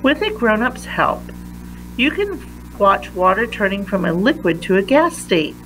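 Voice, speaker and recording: A woman reads a picture book aloud for children at a slow, careful pace. She pauses between phrases, her voice sitting at a medium pitch. A faint hum of noise runs behind her. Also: very animated, a bit bright, rough recording. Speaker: read-aloud reader.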